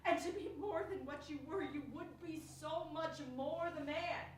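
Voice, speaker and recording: Echoey, high-voiced ruthless noblewoman, played by a woman. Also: normal speed, close to an everyday voice. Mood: scornful goading. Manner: theatrical, emphatic Shakespearean verse delivery. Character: ruthless, scornful noblewoman goading her husband to murder. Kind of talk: classical stage acting. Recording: very echoey room, distant mic, faint noise.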